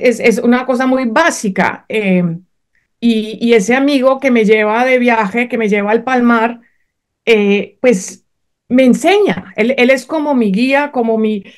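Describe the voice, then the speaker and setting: A woman whose first language is German, speaking hesitantly with many fillers and restarts as an author being interviewed. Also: on the high side. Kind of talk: conversational interview.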